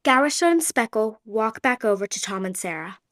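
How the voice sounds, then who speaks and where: A woman's voice: on the high side, animated, brisk, fairly bright tone. A woman narrating a radio play in a plain storytelling manner.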